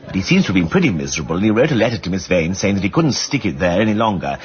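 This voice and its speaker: An actor playing a well-bred, insistent amateur sleuth in a radio drama, with an upper-class English accent and a plummy, drily witty delivery. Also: male voice, low-pitched, very animated, very fast, muffled recording. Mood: earnest recounting. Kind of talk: British radio drama acting.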